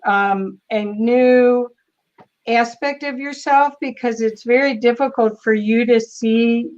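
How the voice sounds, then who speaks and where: A woman hosting over a video call, enthusiastic and halting as she introduces her guest. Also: a little high, lo-fi sound. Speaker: host. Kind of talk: casual video call chat.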